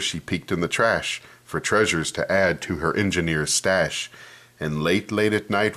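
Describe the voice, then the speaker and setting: A man reading a children's picture book aloud in an expressive storytelling manner, commenting on the pages as he goes. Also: low-pitched.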